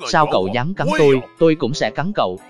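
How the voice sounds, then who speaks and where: A man's voice: a little high, animated, quick pace, over music, lightly acted. A man playing a spiteful, argumentative talking winter melon in a cartoon dub.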